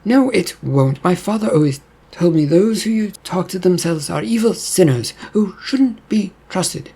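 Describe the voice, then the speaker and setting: A man's voice: high-pitched, expressive, measured pace, gravelly, warm tone, slightly rough, voice pushed up, exaggerated voice. A man voicing a prim, judgmental religious woman who is distrustful and disdainful in an audiobook story. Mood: scornful. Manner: disdainful, superstitious, curt.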